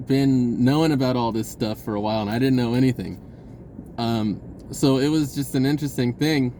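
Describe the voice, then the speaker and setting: Casting: man, mid-pitched voice, casual vlog storyteller, excitable and self-interrupting, slight background noise. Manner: blurting, self-interrupting, stammering over phrases; excitable, re-enacts conversations in quoted speech. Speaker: personal storyteller. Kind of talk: casual rambling vlog monologue.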